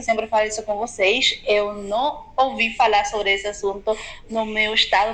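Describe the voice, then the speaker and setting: A woman's voice: on the high side, pushed and bright, rough recording. A woman from Venezuela with a Venezuelan accent, a native Spanish speaker, chatting casually and directly to her viewers as a video blogger. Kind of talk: casual chat to camera.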